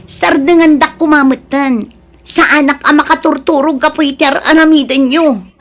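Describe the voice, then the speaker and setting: A sorrowful, worn-down wife in an audio drama, acted by a woman. Her voice is high and plaintive, often close to tears, with a pleading manner. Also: steady tone, normal speed, close to an everyday voice. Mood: pleading, hurt. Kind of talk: Ilocano audio drama acting.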